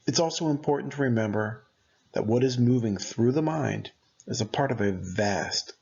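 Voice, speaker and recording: A man narrating a guided meditation as its guide, speaking in gentle, deliberate short phrases. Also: medium pitch, gravelly, lo-fi sound.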